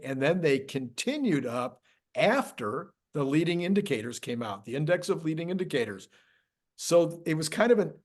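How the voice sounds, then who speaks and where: A male show host with a slightly high-pitched voice talks casually about the markets. His manner is jovial and easygoing, as in a relaxed chat. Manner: jovial, laughs often.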